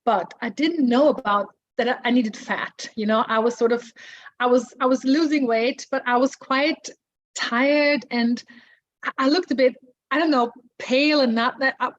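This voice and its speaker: A woman, sharing her personal story hesitantly, with many restarts. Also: a little high. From Austria. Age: 40s. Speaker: support group member. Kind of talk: personal testimony. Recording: muffled lo-fi audio.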